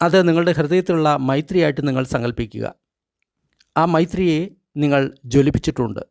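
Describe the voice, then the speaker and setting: A man leads a guided meditation in a slightly high voice. He reads measured instructions in short phrases, leaving pauses between them. The sound is dry and close. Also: vocal fry, a little mellow, no room sound. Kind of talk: guided meditation, read from a translated script.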